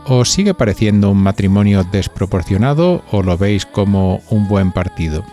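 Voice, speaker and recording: A man's voice: lowish voice, normal speed, dark tone, faint music behind. A man with an accent from Spain hosting a podcast, reading scripted narration and putting conversational questions to listeners. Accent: Spanish from Spain. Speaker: podcast host.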